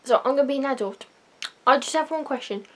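A woman's voice: high-pitched, quick pace. Rambling teenage girl. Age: teens. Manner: rambling, self-mocking, loud sudden outbursts. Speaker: vlogger. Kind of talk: casual vlog. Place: small bedroom, indoors. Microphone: webcam at desk.

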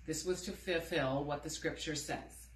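Casting: middle-aged woman, low voice, measured Bible reader, roomy indoor sound. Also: fairly even intonation. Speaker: church member reading scripture. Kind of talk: measured scripture reading. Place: indoor room with wooden door.

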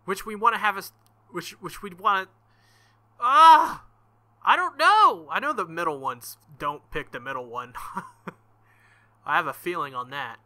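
A young man reading a visual novel aloud and commenting, animated and thinking out loud. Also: high-pitched, unhurried, long pauses. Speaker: let's play host.

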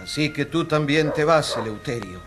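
A man's voice: a little high, animated, moderate pace, lightly acted. Actor as a mournful village man. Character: saddened village man watching neighbours leave. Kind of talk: dramatized radio acting. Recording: music behind the voice.

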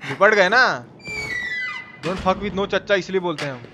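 High-pitched Bihari man streaming a game. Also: measured pace, music in the background. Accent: Bihari Hindi. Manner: colloquial, teasing, swears freely. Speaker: video game live streamer. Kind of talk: casual live gaming commentary with chat banter. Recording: clearly noisy, game sound behind.

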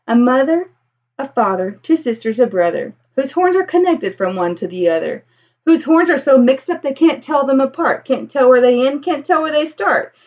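An American woman reads a children's picture book aloud in a lively, swooping storyteller's voice. It sits a bit high and has a husky edge. The sound is slightly dull, as from a webcam. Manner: animated storytelling with drawn-out emphasis. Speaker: elementary school teacher reading aloud. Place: small room at home with bookshelf.

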